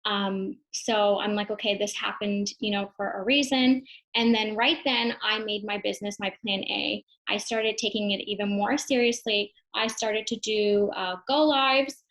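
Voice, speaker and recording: A woman's voice: mid-range voice, fairly even intonation, unhurried, hardly any pauses, fairly bright tone, poor audio. Reflective young woman telling her story. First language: English. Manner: casual, reflective, many fillers and restarts. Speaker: online business owner sharing her story. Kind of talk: personal testimonial talk.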